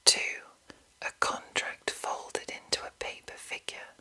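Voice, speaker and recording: Woman whispering slow, breathy ASMR. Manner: slow, hushed, deliberate enunciation. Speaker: ASMR host. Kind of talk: whispered ASMR reading of a fantasy game monster manual.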